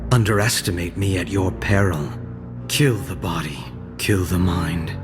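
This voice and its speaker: An actor playing an arrogant young shadow assassin in a video game, with a cold, haughty and measured delivery. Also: male voice, sits low. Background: game music.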